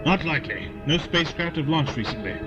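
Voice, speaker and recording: A man's voice: fairly high pitch, expressive, fast, natural voice. A man playing a stern, suspicious army colonel in an audio drama, clipped and commanding. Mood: skeptical. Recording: dull lo-fi with loud music behind.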